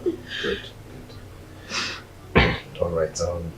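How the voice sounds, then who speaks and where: A man's voice: deep voice, completely flat delivery, extremely slow, a bit bright, slight background noise, heavily acted, low-quality recording. An actor playing a husband who reassures a frightened young woman in a soothing, hesitant way. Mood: reassuring. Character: exasperated husband caught between wife and maid. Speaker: actor in a domestic skit.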